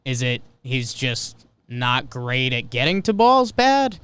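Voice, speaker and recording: A man's voice: medium pitch, big pitch swings, slow pace, a bit bright, smooth, no echo. A man co-hosting a sports podcast, talking casually and animatedly, arguing his points with stats and rhetorical questions.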